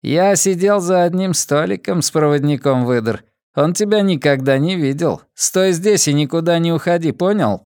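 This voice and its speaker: A bossy, stout fisherman from an audiobook novel, acted by a male narrator. He speaks suspiciously in a raised pitch and challenges like an interrogator, with a dry, close sound. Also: normal speed, few pauses, a little mellow, clean tone, no echo, lightly acted. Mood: accusing, stern. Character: short fat fisherman, suspicious and bossy man.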